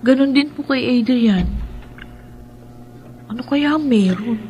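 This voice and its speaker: High-pitched worried young woman in an old radio play. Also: slow, mellow, slight background noise. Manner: worried and pleading. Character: young woman haunted by a ghost.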